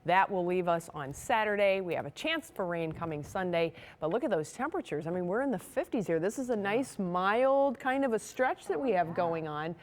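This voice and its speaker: A woman's voice: lowish voice, animated, moderate pace, few pauses. An American woman giving a conversational TV weather forecast in a chatty, folksy manner.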